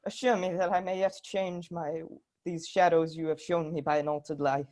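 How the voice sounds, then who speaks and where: A woman's voice: lowish voice, flat delivery, normal speed, a little mellow, lightly acted. A young woman playing a repentant old miser in a dramatic, emphatic reader's theatre performance. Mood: desperate pleading.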